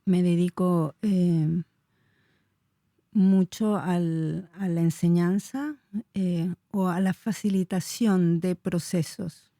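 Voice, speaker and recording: A woman's voice: on the low side, fairly even intonation, takes it slowly, warm tone, no echo, studio quality. A woman in her forties from Chile, a dance teacher answering interview questions in a searching, hesitant way with many fillers. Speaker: dance teacher and movement researcher.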